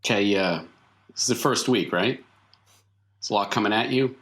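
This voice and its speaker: A man speaking as game master in an improvised tabletop roleplaying session, with measured, halting phrasing. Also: on the low side, fairly bright tone. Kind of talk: improvised tabletop roleplay narration and in-character dialogue.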